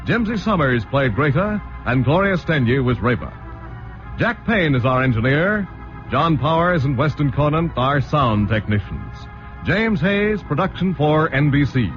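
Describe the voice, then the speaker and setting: A male radio narrator and announcer giving scripted closing remarks and credits in a polished style. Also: on the high side. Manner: polished, lively announcer delivery. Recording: dull old radio broadcast over music.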